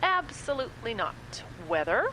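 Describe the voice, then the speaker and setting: An actress playing a playful, teasing radio co-host in a comedy radio play. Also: female voice, high-pitched, very animated, normal speed, a little hiss or hum, rough recording.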